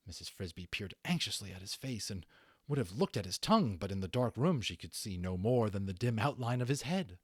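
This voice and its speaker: A man narrating a children's book as an amateur reader, in a dramatic, deliberate storytelling style. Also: fairly low pitch, very animated, slightly rough, no echo, clean studio sound.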